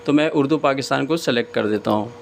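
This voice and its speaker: A man giving a casual step-by-step screen tutorial as host, often pausing hesitantly mid-sentence. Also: medium pitch. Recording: clear background noise, room ambience.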